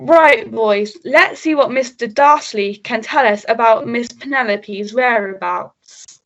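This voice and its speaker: A bossy police sergeant, acted by a woman, giving orders in a high voice. The delivery is slow and deliberate, in radio melodrama style. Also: a bit bright, highs cut off. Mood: commanding. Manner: commanding, deliberate. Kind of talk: melodramatic radio play acting.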